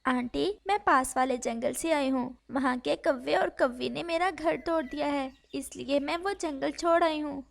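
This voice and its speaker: Woman as a high-voiced, meek little bird. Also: measured pace, gravelly, few pauses. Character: small gentle female bird, meek and sad.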